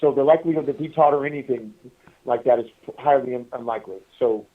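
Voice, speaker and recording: A man's voice: medium pitch, distant mic. Man on a tinny phone line. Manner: halting, repetitive, often addressing the listeners. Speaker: podcast guest calling from prison. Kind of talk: rambling casual storytelling. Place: prison phone call. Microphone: telephone handset. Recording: tinny, band-limited prison phone line.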